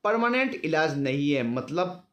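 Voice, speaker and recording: A man's voice: a little high, big pitch swings, measured pace, fairly bright tone. A man voicing an elderly husband who is anxious, tender and a little hesitant, in an audio story. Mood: worried. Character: worried, affectionate elderly husband.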